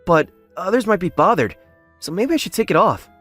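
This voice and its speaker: A modest, candid young working man narrates and acts in a manga dub, played by a male actor. His intonation is very lively and earnest, with soft music underneath. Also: sits high, a little fast, exaggerated voice. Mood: polite, earnest. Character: modest, plain-spoken young male factory worker, story's narrator. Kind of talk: English manga dub acting and first-person narration.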